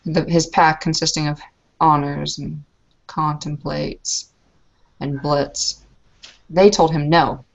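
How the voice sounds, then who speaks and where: Worried woman roleplayer, lower register, rambling, recorded lo-fi. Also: level tone, takes it slowly, gravelly, long pauses. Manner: anxious, rambling, many restarts. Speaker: player in a tabletop roleplay. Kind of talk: in-character roleplay conversation. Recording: lo-fi muffled recording.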